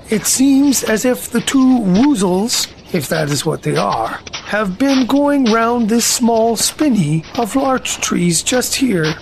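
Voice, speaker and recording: A woman voicing a gentle, earnest, slow-witted male bear in a children's audio drama, musing aloud in a ponderous, solemn way. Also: fairly high pitch, noisy background.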